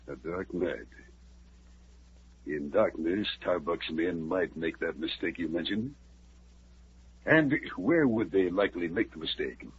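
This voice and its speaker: An actor playing a cautious army colonel, formal and measured and sometimes hesitant, in a 1950s radio drama. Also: male voice, low voice, animated, husky, full character voice. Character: cautious middle-aged male army colonel. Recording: muffled old radio recording with faint hiss.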